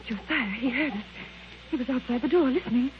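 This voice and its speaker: A woman's voice: sits high, a little fast, close to an everyday voice. Woman playing frightened wife, muffled old radio. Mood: panicked. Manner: anxious, melodramatic. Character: frightened, fretful, fragile wife. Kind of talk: 1940s radio drama acting. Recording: dull, noisy old radio recording.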